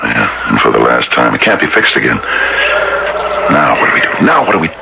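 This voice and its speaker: An actor playing a fearful, worn-down married man in a radio drama, speaking in an anguished, halting way. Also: rumbling low, sing-song, vocal fry, heavy background noise, full character voice. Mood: despairing. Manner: anguished, halting, repeats himself. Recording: muffled old radio broadcast.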